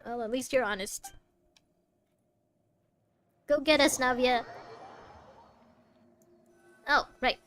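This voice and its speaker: A woman's voice: high-pitched, slow pace, long pauses, a bit bright. A woman giving casual commentary as she plays a video game, with wry, playful asides. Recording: game music behind the voice.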